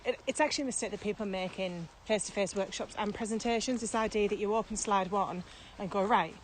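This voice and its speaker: A woman's voice: medium pitch, fast talker, a little hiss or hum, poor audio. A British woman co-hosting a casual podcast as a training expert, explaining emphatically with repeated key phrases and rhetorical questions.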